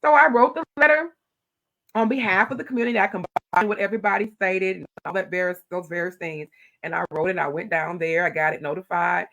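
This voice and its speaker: A middle-aged woman, a county commissioner telling stories in an animated, loud voice. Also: fairly low pitch, a little fast, highs cut off. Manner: animated storytelling, acting out her own quoted replies. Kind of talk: casual interview talk.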